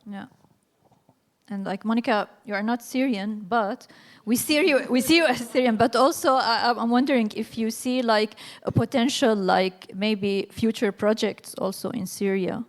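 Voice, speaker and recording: A woman's voice: on the high side, moderate pace. A woman co-moderating a panel, putting informal, unscripted questions to the guests.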